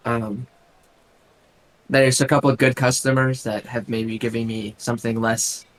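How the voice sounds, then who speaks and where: Raspy man, hesitant tabletop player. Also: medium pitch, flat delivery, normal speed. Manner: hesitant, many likes and ums. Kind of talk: casual tabletop game chat.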